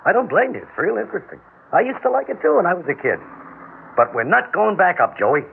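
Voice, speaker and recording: A man playing a chatty, excitable father coaxing his baby son in an old radio drama. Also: high-pitched, quick pace, creaky, heavily acted. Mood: playful, chatty. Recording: noisy, narrow-band vintage radio recording.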